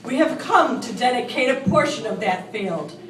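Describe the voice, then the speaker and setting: A woman's voice: fairly high pitch, lively intonation, moderate pace, fairly bright tone. A woman, an amateur reader, reciting a historic speech aloud in a bar, recorded at a distance. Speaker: amateur reader in a group reading. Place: indoors in a bar. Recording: echoey room, far from the mic.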